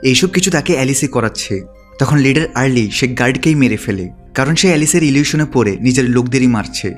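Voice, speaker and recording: A man delivering scripted recap narration in a plain, explanatory storytelling manner. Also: medium pitch. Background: background music.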